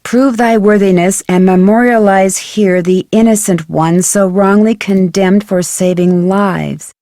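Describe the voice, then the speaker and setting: An actress playing a curious, plucky young amateur detective with an American accent, musing aloud to herself in an adventure game. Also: female voice, medium pitch, a little mellow, dry recording, clean studio sound. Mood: reading aloud, solemn. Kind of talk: scripted adventure game voice acting, thinking aloud.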